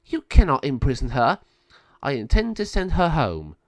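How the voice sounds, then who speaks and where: Man doing a serious good witch lady, firm, kinda high, dry close mic. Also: lively intonation, moderate pace, no room sound, natural voice. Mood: angry. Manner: firm, urgent. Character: earnest wise sorceress, protective elder sister.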